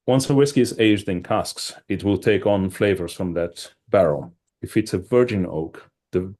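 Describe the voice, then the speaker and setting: Very low-voiced Brazilian-born man explaining. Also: warm tone. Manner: thoughtful, with many fillers and restarts. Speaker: wine and whisky shop owner, guest. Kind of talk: interview answers, explaining at length.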